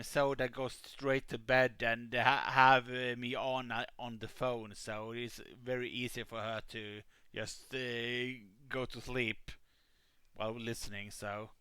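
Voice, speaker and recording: A man hosting a live stream, helping players make characters and talking in a halting way with many fillers. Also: medium pitch, clean tone, dry recording. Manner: says he has a calming voice. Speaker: streamer running a game. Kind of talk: casual live-stream chat.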